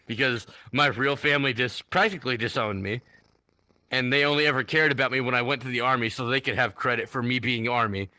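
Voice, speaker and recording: A man's voice: mid-range voice, fairly even intonation, a little fast, no room sound, rough recording. A man from the United States co-hosting a podcast in casual chat, brash and boastful, full of profanity. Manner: brash, profane and boastful, swings from loud to quiet. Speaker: podcast co-host.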